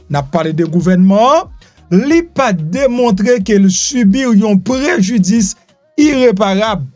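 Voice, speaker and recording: A man's voice: high-pitched, a little mellow, dry recording. A Haitian man hosting a live news show, commenting casually and mockingly while mixing Creole, French and English. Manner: switches between Creole, French and English, repeats phrases, teasing.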